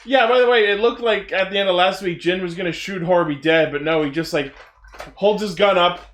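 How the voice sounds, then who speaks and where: A man's voice: high-pitched, fairly quick, a bit bright, reverberant. A man co-hosting a casual podcast, recapping episodes in a laid-back, profane way and laughing often. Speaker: podcast co-host. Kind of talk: casual chat reviewing tokusatsu episodes.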